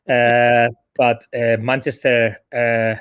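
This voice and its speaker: Spanish-accented man, relaxed chat. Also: mid-range voice, takes it slowly, smooth, dull audio. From Spain. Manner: hesitant, many fillers, laughs often. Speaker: guest digital marketer. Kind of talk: casual live-stream chat. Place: home sofa.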